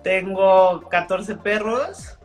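A woman's voice: medium pitch, drawn-out words, roomy sound, soft background music. A woman chef with a Mexican accent chatting as a guest, laid-back and full of slang. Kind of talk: casual chat.

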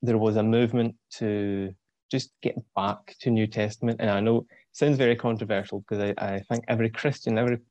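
A man's voice: fairly low pitch, fairly even intonation, mellow, no room sound, dull audio. Young Scottish man, dry close sound. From Scotland. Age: 20s. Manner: thoughtful, with frequent pauses and ums. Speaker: interview guest. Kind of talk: casual explanatory talk.

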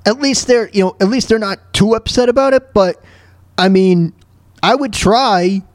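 A man hosting a talk show with casual off-the-cuff news commentary, halting and repeating himself in an exasperated way. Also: high voice, no echo, clean studio sound. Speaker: podcast host commenting on news clips.

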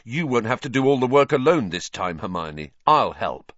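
Man playing an earnest, fiery teenage boy, lively intonation. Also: mid-range voice, moderate pace, no echo, dull audio, pitched above the performer's own voice. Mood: fierce, encouraging.

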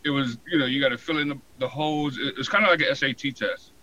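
An American man in his forties, telling his story casually in an interview with a halting, colloquial delivery full of "you know". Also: mid-range voice, pushed and bright, muffled recording. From San Diego, born in Hawaii. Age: 40s. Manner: halting, colloquial, frequent 'you know'. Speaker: podcast guest, fire protection inspector.

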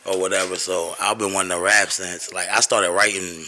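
A young man from New York, a guest rapper talking in a soft, laid-back way with many fillers. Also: sits low, flat delivery, moderate pace. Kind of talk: casual interview chat.

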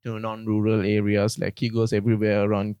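A man's voice: on the low side, fairly quick, smooth, dry recording, studio quality. A young man from Sri Lanka, a university student co-hosting a casual faith podcast, talking easily with lots of "like". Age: young adult. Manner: relaxed, uses "like" a lot. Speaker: podcast co-host. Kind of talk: casual chat.

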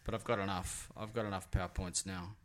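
Hoarse rambling man hosting a podcast. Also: low voice, fairly even intonation, a little fast, a little hiss or hum. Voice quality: raspy. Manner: rambling, self-mocking. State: hoarse from singing. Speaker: podcast host. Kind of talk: casual podcast chat. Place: small home studio.

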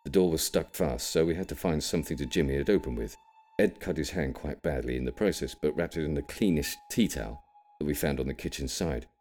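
A man plays a cryptic old grandfather with an extremely low voice. He tells grave audiobook tales of a wartime childhood, measuring his words with an ominous care. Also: darkish tone, soft background music. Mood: grave, nostalgic. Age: older. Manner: careful, measured, ominous storytelling. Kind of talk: audiobook character storytelling.

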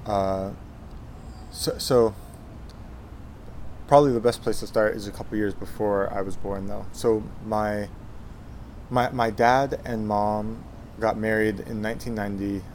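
Young man in his twenties, lowish voice, halting delivery full of ums, faint background hiss. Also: fairly even intonation. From Texas, USA. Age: 20s. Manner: hesitant, many fillers and restarts. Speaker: guest, Zen monk. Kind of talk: casual life-story interview.

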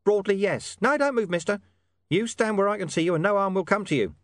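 A man's voice: high voice, fairly quick, no echo, voice pushed up, close to an everyday voice. A man voicing a shady, streetwise talking dog who poses as a mysterious informant in an audiobook story. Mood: menacing, impatient. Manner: streetwise, evasive, menacing slang.

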